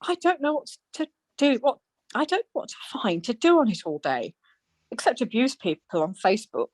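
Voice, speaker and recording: An actress playing a dry, sarcastic, teasing middle-aged wife in an amateur radio play. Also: female voice, on the high side, very animated, brisk, a little mellow, no echo.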